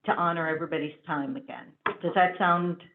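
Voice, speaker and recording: Low-voiced woman chairing, tinny line. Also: fairly even intonation, fairly quick, slight background noise. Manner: polite, steers the agenda. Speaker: board chair. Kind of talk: chairing a meeting. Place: school board meeting room. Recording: thin phone-like line.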